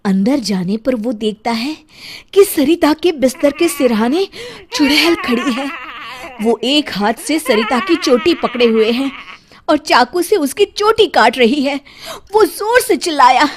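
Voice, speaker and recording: A woman's voice: sits high, expressive, measured pace, crowd noise. A woman narrating a scripted moral story expressively as a storyteller. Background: faint music.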